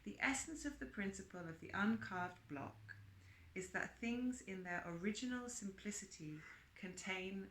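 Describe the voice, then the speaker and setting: A woman reading a book aloud in an expressive, emphatic way, presenting it with chatty asides. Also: mid-range voice, moderate pace, slight background noise. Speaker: reader and presenter of book extracts. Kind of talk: read-aloud with chatty asides and character quotes. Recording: roomy, echoing sound.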